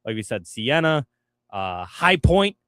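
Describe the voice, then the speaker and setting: A man's voice: mid-range voice, big pitch swings, unhurried, a bit bright, no room sound, clean studio sound. A young man co-hosting a sports radio show, easygoing and agreeable. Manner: easygoing, agreeable, laughs often. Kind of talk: casual sports chat. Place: radio studio. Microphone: studio mic.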